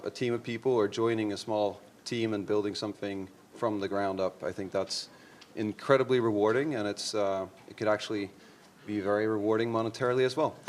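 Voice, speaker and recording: A man in his thirties from Norway, a startup CEO introducing himself on a conference panel, upbeat and full of ums and uhs. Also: lowish voice. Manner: upbeat, hesitant with many fillers. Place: conference room.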